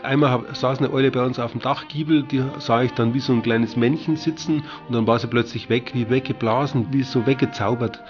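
A man's voice: medium pitch, steady tone, a little fast, few pauses, muffled recording, soft background music. A middle-aged man explaining things as an interviewed nature expert. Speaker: owl expert being interviewed.

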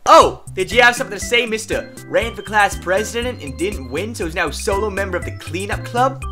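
A woman's voice: mid-range voice, lively intonation, brisk, close to an everyday voice. A woman playing a brash, hot-tempered teenage schoolboy bully in an anime fan dub, with a cocky, sneering, slangy delivery. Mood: mocking, bored. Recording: background music under dialogue.

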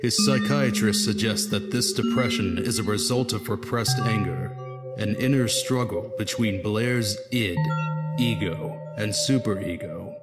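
An actor playing a solemn narrator of a comic book saga, reading scripted narration over loud music. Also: male voice, fairly low pitch, a little slow, in a large hall, close to an everyday voice.

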